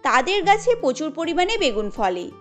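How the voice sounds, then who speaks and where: A woman's voice: high-pitched, sing-song, normal speed, fairly bright tone, faint music behind. A woman reading scripted storytelling narration for a cartoon.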